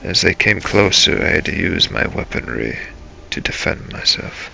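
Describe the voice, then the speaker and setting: A man narrating a game role-play as a first-person story, in halting, broken phrases. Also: low-pitched, expressive, vocal fry, pushed and bright, slight background noise, poor audio.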